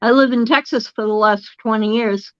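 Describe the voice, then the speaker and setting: A woman's voice: on the high side, steady tone, moderate pace, a bit bright, dry recording, dull audio. A woman with a Boston accent from Massachusetts, chatting and teasing in casual livestream banter. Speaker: livestream co-host. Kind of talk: casual chat.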